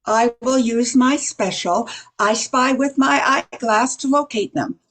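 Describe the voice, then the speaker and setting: Woman playing high-voiced officious gatekeeper. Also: a little slow. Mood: helpful. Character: officious but helpful fairy-tale gatekeeper. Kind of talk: amateur radio play acting.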